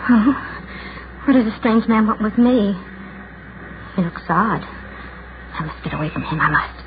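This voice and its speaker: A narrow, noisy vintage radio recording carries an actress as a shy, lonely woman of about thirty. She is brittle and anxious, quick to judge, acting in a forties radio-drama manner. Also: mid-range voice, animated, close to an everyday voice. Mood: bitter, fretful. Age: 30s.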